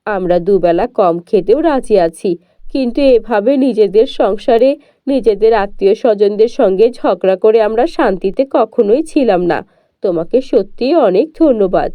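A woman's voice: mid-range voice, animated, moderate pace, dark tone, no room sound, close to an everyday voice. A woman voicing a humbled, grateful villager in a children's cartoon story, earnest and contrite.

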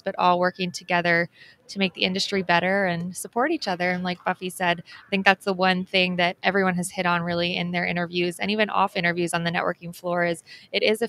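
A woman's voice: lowish voice, gravelly, fairly bright tone. A young adult woman co-hosting an event wrap-up, enthusiastic and promotional, urging viewers to sign up and check things out, talking into a handheld mic at an expo booth set. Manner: enthusiastic, promotional, calls to action. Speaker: co-host, events organizer.

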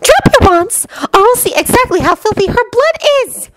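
A woman voicing a cruel, gloating dark witch in a high, shrieking, taunting voice for a storybook reading. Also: sing-song, normal speed, dry recording. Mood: shrieking threat. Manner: dismissive, taunting.

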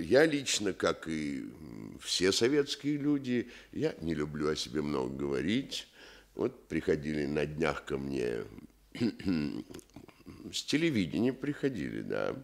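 Pompous low-voiced Soviet busybody. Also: male voice, big pitch swings, unhurried, full character voice. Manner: officious, bureaucratic speechifying, false modesty. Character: pompous, self-important Soviet male housing activist and schemer. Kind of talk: Soviet radio theatre acting.

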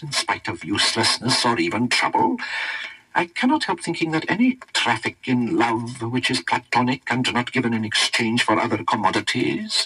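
A man's voice: medium pitch, sharp tone, slightly rough, exaggerated voice, poor audio. A man voicing a learned, opinionated old wizard tutor, lecturing pedantically in a storybook reading.

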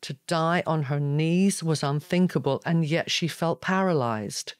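A man's voice: on the high side, unhurried, smooth, dry recording. A man reading scripted fantasy narration in a dramatic audiobook style.

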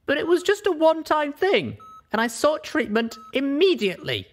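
A man's voice: extremely high pitch, huge pitch swings, normal speed, pitched above the performer's own voice, heavily acted. A man voicing a young female pony adventurer in an earnest, pleading manner. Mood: defensive.

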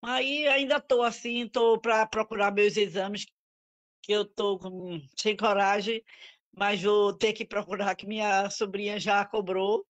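A woman's voice: medium pitch, fairly even intonation, measured pace. A middle-aged woman talks over an audio-only video call from home. Her speech rambles and halts, with a worried tone. At times she drifts away from the microphone. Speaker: group participant. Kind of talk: casual chat on a video call.

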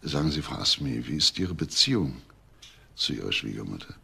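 A man's voice: a bass voice, sing-song, brisk, gravelly, sharp tone, slightly rough, heavily acted. A man playing a probing, world-weary police inspector in a crime radio drama, politely insistent, with hesitant repeated questions. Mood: questioning, polite. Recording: faint background noise.

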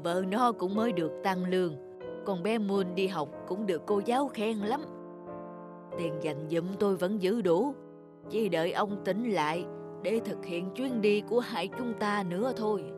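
A woman's voice: mid-range voice, moderate pace, close to an everyday voice. A woman voicing a tender old wife. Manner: soft, caring. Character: elderly wife, tender and devoted. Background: music.

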